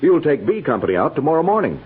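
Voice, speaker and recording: A man's voice: on the high side, sing-song, fast talker, narrow, tinny audio, slight background noise. An American man, middle-aged, playing a stern, by-the-book cavalry major, formal and authoritative, in 1950s radio western acting. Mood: firm. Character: stern, by-the-book older male army major. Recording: thin band-limited old radio recording.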